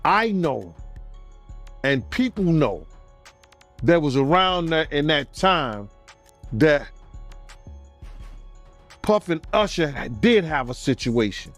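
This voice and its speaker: A man giving emphatic, casual commentary in a halting, deliberate manner. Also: a little high, sing-song, a bit bright. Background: music.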